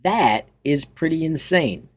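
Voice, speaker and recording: A man hosting an informal software tutorial in a chatty, enthusiastic way. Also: on the high side, expressive, slow. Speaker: tutorial host. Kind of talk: informal software walkthrough with upbeat sign-off.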